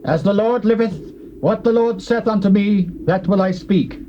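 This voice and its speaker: A solemn, unbending prophet, played by a man declaims scripture in a high, mellow voice. His delivery is measured and theatrical, on a muffled old tape. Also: natural voice. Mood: solemn, defiant. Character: defiant, solemn male prophet of God. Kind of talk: dramatized Bible reading, declamatory stage acting. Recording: muffled old cassette, faint noise.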